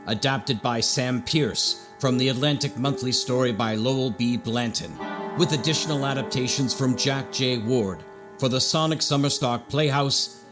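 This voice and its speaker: A man's voice: mid-range voice, normal speed, over music. A man reading scripted credits as a formal, theatrical announcer in the style of an old-time radio suspense show. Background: musical score.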